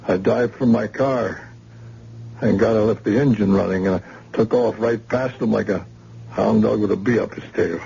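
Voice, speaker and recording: An actor playing a wounded man who saw a shooting, halting and hesitant, in an old radio drama. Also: lowish voice, fairly even intonation, gravelly, warm tone, breathy edge, full character voice. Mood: shaken. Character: wounded witness man, shaken and scared. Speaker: shot crime witness in hospital. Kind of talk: vintage radio drama acting. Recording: dull lo-fi old radio recording with faint hiss.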